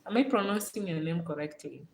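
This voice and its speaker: Female livestream host, Kenyan accent, slightly low voice, conversational and repetitive for emphasis, roomy sound. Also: animated, fairly quick, darkish tone. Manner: repeats phrases for emphasis. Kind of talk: casual livestream talk. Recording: roomy echo, clear background noise.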